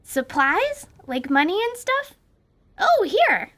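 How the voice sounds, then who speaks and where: A woman's voice: very high-pitched, sing-song, takes it slowly, fairly bright tone, full character voice. A woman voicing a bold, blunt little girl in a radio drama, speaking frankly. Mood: chatty. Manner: frank, chattery, plain-spoken.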